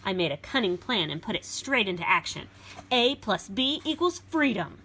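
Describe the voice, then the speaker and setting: Indignant little furry beast acted by a woman, animated. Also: medium pitch, normal speed, a little hiss or hum. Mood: scheming. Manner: dramatic, aggrieved storybook narration. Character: indignant little male furry woodland beast, dramatic and put-upon.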